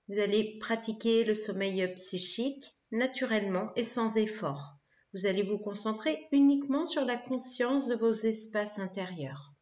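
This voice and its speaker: A woman guiding a yoga nidra relaxation in a soothing, measured way, with pauses between short phrases. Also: a little high, a little mellow, highs cut off. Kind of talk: guided relaxation meditation, instructing listeners. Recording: roomy, reverberant sound.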